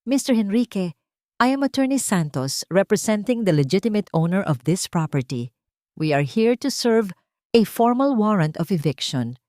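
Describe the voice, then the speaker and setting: A woman's voice: mid-range voice, big pitch swings, moderate pace, mellow, no echo, studio quality, lightly acted. A woman voicing a serious, authoritative male attorney in an audio story, formal and firm. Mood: stern, formal. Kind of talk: dramatic audio storybook acting.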